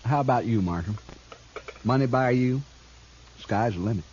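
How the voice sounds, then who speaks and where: An actor playing a sly, self-assured, greedy frontier outlaw with a sardonic swagger, in 1950s radio drama style. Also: male voice, mid-range voice, normal speed, a little mellow, close to an everyday voice. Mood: taunting. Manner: taunting, conversational swagger. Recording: scratchy, muffled 1950s radio recording.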